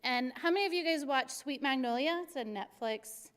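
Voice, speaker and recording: Middle-aged city recreation chief, a woman from Arizona with a very high, bright voice, passionate and jokey, in a big reverberant hall. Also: normal speed. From Arizona, USA. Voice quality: very high-pitched voice. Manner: energetic, passionate and joking. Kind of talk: civic presentation to a class.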